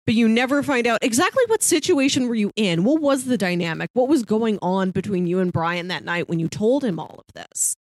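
A woman podcast co-host with a medium-pitched voice, recorded close and dry. She talks casually and retells stories in an animated way, slipping into quoted lines as she goes. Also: a little fast, hardly any pauses, dry recording. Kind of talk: casual discussion of a TV series.

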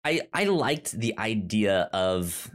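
A man talks casually as a podcast co-host, at a slow, halting pace. He repeats words while searching for what to say. His pitch moves around a lot. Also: very animated. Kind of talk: casual book discussion chat.

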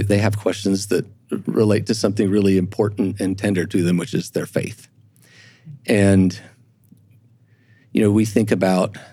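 Guy on a podcast, lowish husky voice, earnest, thinking out loud w/ lots of restarts. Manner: halting, thoughtful, earnest, often repeating words. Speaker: church historian, podcast guest. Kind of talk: reflective conversational explanation.

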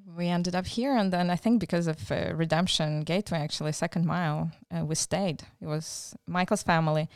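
Ukrainian woman in her 30s, low voice, bit of fry, dry close sound, lots of ums. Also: a little fast, hardly any pauses, darkish tone, dry recording. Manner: thoughtful, hesitant with many fillers. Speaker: guest. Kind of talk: casual interview.

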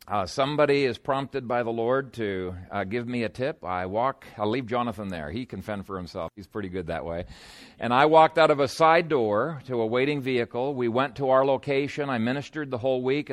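A man preaching a conversational sermon as a pastor, explaining the text informally with personal anecdotes, pauses to find words and rhetorical questions. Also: mid-range voice.

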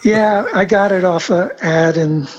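A man in his seventies, a musician guest born in Argentina and raised across South America, telling stories in a relaxed, rambling way with many fillers. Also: sits high, unhurried, muffled recording. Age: 70s. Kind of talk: casual conversational interview.

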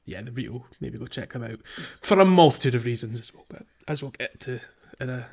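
A man's voice: medium pitch, expressive, fairly quick, a little mellow, slightly rough, dull audio. A man co-hosting a podcast in casual gaming chat, with a hesitant, rambling delivery. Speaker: podcast co-host. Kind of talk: casual video game chat.

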